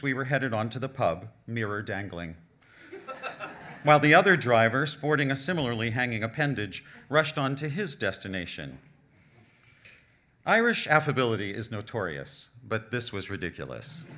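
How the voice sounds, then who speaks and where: A man with a bright, clear-edged voice at middling pitch reads a scripted story on stage. His delivery is literary and wry, with measured phrasing and deliberate emphasis on chosen words. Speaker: author reading a personal essay at a live event. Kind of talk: scripted storytelling, humorous travel essay read aloud. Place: live reading on stage at an indoor venue.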